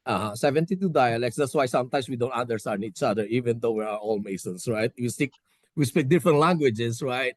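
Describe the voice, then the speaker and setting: A man's voice: medium pitch, brisk, no room sound, studio quality. A man with a Filipino accent, explaining playfully in a casual chat and often checking in with "right?". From Philippines.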